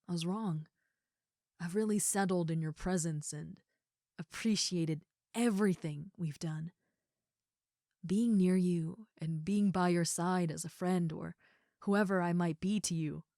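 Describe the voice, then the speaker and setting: Woman as a gentle ogre roommate, softly low-pitched. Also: no echo, clean studio sound. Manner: soft, breathy asides, halting, tender, sincere. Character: gentle, affectionate giant female ogre roommate, shyly confessing. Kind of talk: intimate comfort roleplay audio.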